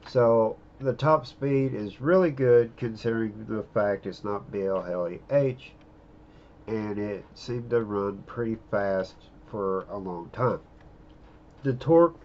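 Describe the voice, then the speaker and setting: A man's voice: fairly low pitch, dull audio, a little hiss or hum. A middle-aged man giving a casual, plain-spoken review of drone parts outdoors, speaking close to a handheld camera. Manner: relaxed, plain-spoken hobbyist talk with fillers. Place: outdoors in an open field.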